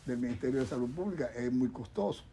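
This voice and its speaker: Man, Dominican accent, middle-aged, doctor guest, rambling, repeats words, mellow voice. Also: medium pitch, animated, fast. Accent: Dominican Spanish. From Dominican Republic. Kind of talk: casual chat on a talk show panel.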